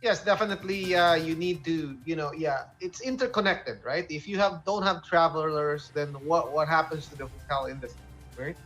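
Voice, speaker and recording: A man with a slightly high voice talks as a current affairs host. He speaks haltingly, often repeating a word before moving on. Faint music plays behind him. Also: a bit bright, rough recording. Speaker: talk show host.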